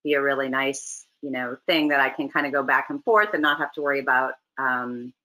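A woman's voice: a bass voice, brisk, sharp tone, poor audio. A woman in her forties from Canada, chatting easily as a guest on a video call interview, laughing often. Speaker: actress guest. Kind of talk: casual interview chat. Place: home room, video call.

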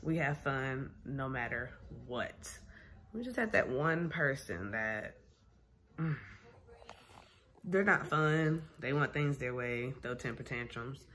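A woman's voice: a bass voice, takes it slowly. A young woman chatting casually and candidly to her phone camera at home, tired and getting over a sinus infection. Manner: candid, animated storytelling with laughs. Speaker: vlogger. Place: small room at home, seated in armchair. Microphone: phone camera at arm's length.

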